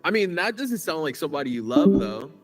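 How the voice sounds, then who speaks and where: A male interviewer asks questions with lively, swooping intonation at a quick pace. Faint music sits underneath. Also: on the high side.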